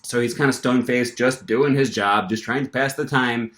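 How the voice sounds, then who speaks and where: A young man vlogging casually, telling an animated story with lots of fillers and restarts. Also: mid-range voice, normal speed. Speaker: language-learning vlogger.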